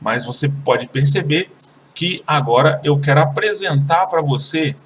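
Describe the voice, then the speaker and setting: A man's voice: a little high, slight background noise. Brazilian man teaching English, distant mic. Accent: Brazilian Portuguese. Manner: slow, careful repetition of example sentences. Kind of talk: online English lesson.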